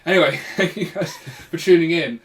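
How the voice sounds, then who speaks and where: A man's voice: fairly high pitch, moderate pace, faint music behind. A man hosting a podcast in casual, rambling, jokey chat with a friend. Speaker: podcast host. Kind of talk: casual chat about retro games.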